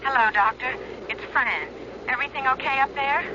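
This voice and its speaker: An actress plays a calm stewardess taking command in a radio drama emergency. Her voice is a little high and firm. The sound is muffled, with a clear cabin drone behind her. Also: normal speed, lightly acted. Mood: worried, controlled. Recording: dull, noisy old radio drama recording. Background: aircraft cabin drone.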